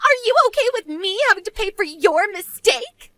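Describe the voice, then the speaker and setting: Very shrill, whiny spoiled wife. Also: female voice, lively intonation, normal speed, pushed and bright, dry recording, clean studio sound, exaggerated voice. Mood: desperate pleading. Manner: whiny, haughty, pleading. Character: spoiled, arrogant banker's wife, desperate and panicked. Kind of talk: melodramatic scripted story dialogue.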